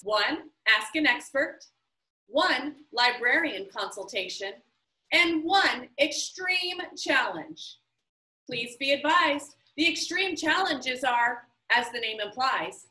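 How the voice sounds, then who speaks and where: A woman voicing a formal, precise librarian who reads out rules crisply. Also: high voice, bright, forceful tone, full character voice. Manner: crisp, formal rule-reading. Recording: roomy, somewhat distant mic.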